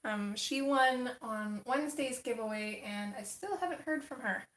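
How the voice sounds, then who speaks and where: A woman hosting a casual livestream, chatting with viewers as she colors, her voice a little gravelly from just waking up. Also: a little high, normal speed, fairly bright tone, roomy sound. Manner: chatty, reads and answers chat comments. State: slightly gravelly morning voice. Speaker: livestream host.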